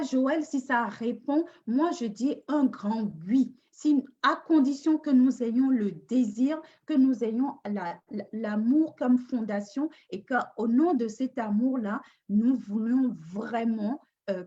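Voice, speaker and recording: A woman's voice: on the high side, takes it slowly, darkish tone. A woman coaching on relationships in a live talk, hesitant and thoughtful with frequent restarts. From Africa. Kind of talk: unscripted live talk answering a question. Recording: dull lo-fi live stream audio.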